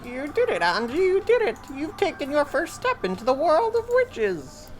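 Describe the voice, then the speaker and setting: A man's voice: extremely high pitch, wildly swooping pitch, normal speed, faint music behind, voice pushed up, exaggerated voice, poor audio. A man voicing a tiny, cheerful male lion plush friend who chirps in a sing-song way. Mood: cheery.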